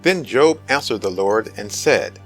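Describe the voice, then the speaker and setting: A man narrating a dramatic scripture reading in a solemn, deliberate storytelling manner. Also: on the low side, lively intonation. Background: prominent music.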